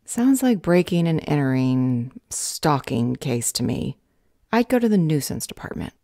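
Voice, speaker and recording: Lady playing a unhelpful gov office clerk in an audio roleplay, dark relaxed voice, officious and talks down to you. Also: deep voice, unhurried, no room sound, clean studio sound, full character voice. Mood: lecturing, condescending. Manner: bureaucratic, unhelpful, condescending. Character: jaded, officious female government customer service clerk. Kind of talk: audio roleplay drama acting.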